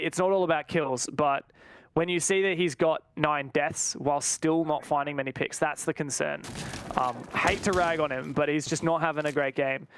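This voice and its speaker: A man's voice: a little high, a little hiss or hum. A man commentating live on an esports match, mixing play-by-play with analysis.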